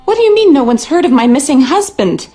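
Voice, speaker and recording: An actress playing a distraught, suspicious and demanding wife in a video game. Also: female voice, sits high, sing-song, a little fast, dark tone, soft background music. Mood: indignant, worried. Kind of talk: video game character acting.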